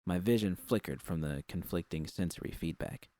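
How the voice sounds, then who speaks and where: Low-voiced man narrating fiction. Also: normal speed, warm tone, dry recording. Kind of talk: scripted first-person fiction narration.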